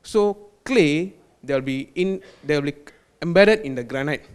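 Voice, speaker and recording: A man from Malaysia with a Malaysian accent, a quality control manager giving a technical product presentation, halting with fillers and restarts and dropping in Malay words. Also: fairly high pitch, expressive, smooth. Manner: hesitant, many fillers and restarts.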